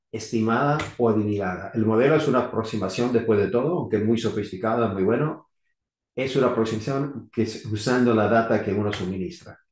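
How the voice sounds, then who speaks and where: A middle-aged man from Argentina, now living in the US, giving an unscripted technical talk on electrical safety in halting, rusty Spanish full of restarts and fillers. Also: medium pitch, a little mellow. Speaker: electrical safety engineer presenting. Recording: echoey room.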